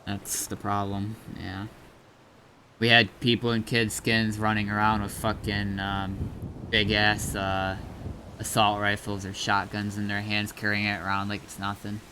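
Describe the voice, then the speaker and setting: A man with a fairly low, bright and forceful voice talks casually over game ambience and faint noise. He explains things in a halting, sweary way, like a streamer and server admin addressing players. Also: monotone.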